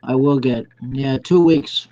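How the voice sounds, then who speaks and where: A man's voice: medium pitch, measured pace, dark tone. An older man chatting casually on an online group call, speaking hesitantly and repeating himself. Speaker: chat participant. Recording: dull online call audio.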